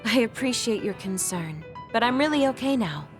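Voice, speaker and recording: A woman voicing a gentle, caring young woman in a video game, speaking politely and softly. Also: a little high, expressive, moderate pace, close to an everyday voice. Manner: polite and soft-spoken. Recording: music under the dialogue.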